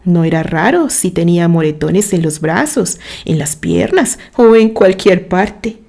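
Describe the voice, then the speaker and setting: Slow, mellow woman narrating a story. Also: fairly low pitch, animated, vocal fry. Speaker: narrator reading a written story. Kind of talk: dramatic literary audio story narration.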